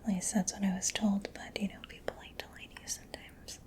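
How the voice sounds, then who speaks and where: A woman whispering in a breathy, gentle, unhurried murmur for an ASMR roleplay, close to the microphone. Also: medium pitch, level tone. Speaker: ASMR creator playing a robot mechanic. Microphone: close-up sensitive microphone. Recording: close-up whisper with clear background noise.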